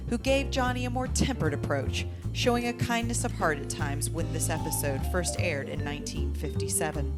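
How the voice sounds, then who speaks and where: Presenter: woman, lower register, scripted radio play introduction, prominent music underneath. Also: moderate pace, hardly any pauses. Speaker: presenter and member of a radio theatre troupe. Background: loud music.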